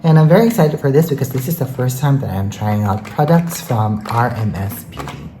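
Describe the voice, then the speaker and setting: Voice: mid-range voice, sing-song, brisk. A beauty vlogger chatting enthusiastically and casually through a makeup product review, often dropping in filler phrases like "you know". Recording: roomy echo, faint background noise.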